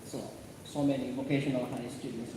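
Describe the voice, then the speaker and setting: Male guest speaker, Indonesian accent, halting storyteller checking in with listeners; distant mic, clearly noisy room. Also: medium pitch, level tone. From born in South Sulawesi, grew up in Malaysia. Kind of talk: personal storytelling to a student audience. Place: large echoey room at an event. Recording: very echoey hall, distant mic, loud noise.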